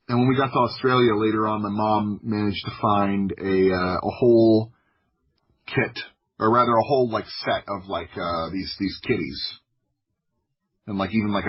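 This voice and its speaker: A man from the United States telling personal stories casually as a podcast guest, in an informal, humorous way with a husky voice. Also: on the low side, highs cut off. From United States (Florida). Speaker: podcast guest, audio drama creator.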